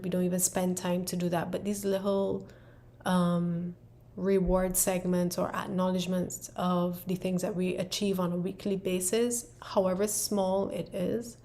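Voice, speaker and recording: Barbadian woman, flat low voice. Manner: relaxed, many fillers and "right?" tags. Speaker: planner creator and presenter. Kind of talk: casual explanatory walkthrough.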